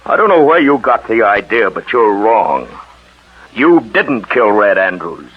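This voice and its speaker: Shrewd cop in old radio mystery, low voice, really animated delivery, muffled sound. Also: male voice, measured pace, over loud music. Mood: firm. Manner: assured, explanatory, insistent. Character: shrewd, steady male police detective explaining a case. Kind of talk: 1940s radio drama acting. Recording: muffled, husky-sounding 1940s radio recording.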